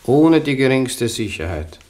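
An actor playing a petty, stingy official in a radio play, complaining self-righteously with an Austrian accent. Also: male voice, medium pitch, big pitch swings, unhurried, a little mellow. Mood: grumbling. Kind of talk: 1960s German radio play acting.

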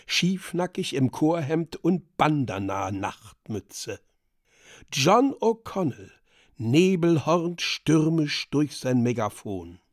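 A man narrating a radio drama from a script in a deliberate, theatrical way. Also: a little high, a little mellow, no echo, clean studio sound.

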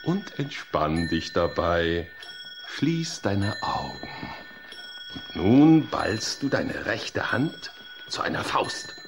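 A man's voice: sits low, slow, over music, heavily acted. A man playing an earnest, fussy psychologist who lectures and coaxes patiently in a German children's audio drama. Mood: coaxing instruction. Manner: didactic, expressive, coaxing.

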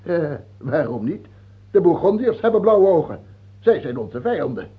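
An actor playing a cruel, mocking and capricious king in a radio drama, imperious, husky and gravelly. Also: a little high, sing-song, moderate pace, heavily acted. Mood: sly, taunting. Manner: imperious, taunting, hesitating then outbursting. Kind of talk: theatrical radio drama acting. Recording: muffled, phone-like old radio play recording.

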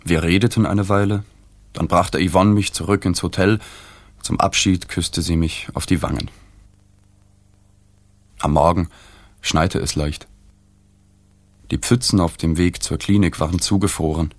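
A man's voice: sits low, steady tone, unhurried, long pauses, no room sound. An actor playing a melancholy journalist in a literary radio drama, telling his story in a sober, restrained way. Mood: sober narrating. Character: subdued, melancholy male journalist narrating his own story. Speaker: narrator.

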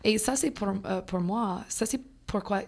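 A young woman from Louisiana whose first language is English, a French teacher talking casually as a podcast guest, halting and full of fillers, slipping in English words. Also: medium pitch, vocal fry, a loud crowd. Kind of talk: casual conversation.

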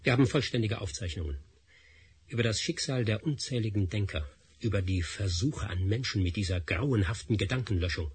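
An actor playing a defiant researcher who coldly threatens his rival in a radio play, with a husky voice. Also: lowish voice, expressive. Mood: threatening. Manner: cold, threatening, measured. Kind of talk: radio drama acting.

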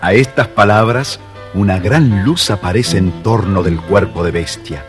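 Guy reading a kids fairy tale, low voice, measured and expressive, music playing behind. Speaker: storyteller, narrator. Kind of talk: scripted children's story narration.

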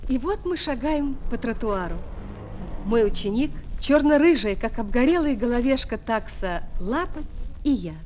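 A woman playing a schoolteacher who narrates a children's audio play with expressive storytelling. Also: on the high side, moderate pace, a little hiss or hum, close to an everyday voice. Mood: thoughtful. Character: female schoolteacher quietly following and admiring her pupil. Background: music.

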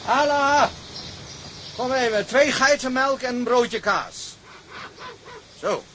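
An actor playing a genial, commanding ship's captain in a radio drama, speaking briskly and formally. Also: male voice, very high voice, fairly bright tone, a little hiss or hum, full character voice. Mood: commanding, chatty.

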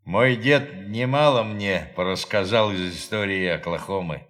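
An actor in an audio drama playing a proud, defiant astronaut with an intense delivery that moves between hushed and explosive. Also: male voice, low-pitched, unhurried. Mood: grave, subdued. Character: proud Cherokee astronaut, loyal crewmate, horrified and defiant. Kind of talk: Russian audio drama acting.

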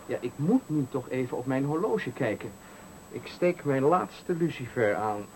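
An actor playing a menacing, coolly polite stranger who taunts and gives orders, in radio thriller acting. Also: male voice, mid-range voice, animated, moderate pace, darkish tone, natural voice. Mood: menacing, commanding. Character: menacing male stranger, sadistic trickster at a bus stop. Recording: noisy 1980 radio play recording.

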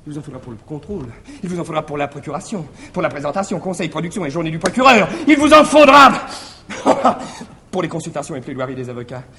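Sly cheeky servant in old french stage comedy, high croaky voice, wheedles then yells, bit of noise on the 60s tape. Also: male voice, big pitch swings, fast, heavily acted. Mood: cajoling. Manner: exclamatory, swings from confiding murmur to shouting. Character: wily, cajoling, impudent manservant schemer. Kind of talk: theatrical classic French farce on stage. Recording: slightly noisy 1961 theatre recording.

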